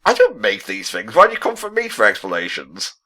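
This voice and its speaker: Male let's play commentator, lower register, crisp bright edge, explanatory and bantering. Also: wildly swooping pitch, a little fast. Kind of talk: casual co-commentary on a video game.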